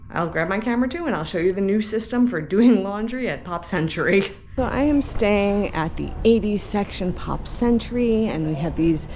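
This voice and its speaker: A woman's voice: medium pitch, a little mellow, slight background noise. A woman in her thirties chatting casually and explaining things as a vlogger, on a handheld camera at arm's length. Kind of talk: casual vlog chat and walk-through. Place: resort hotel room, laundry room and outdoor walkways.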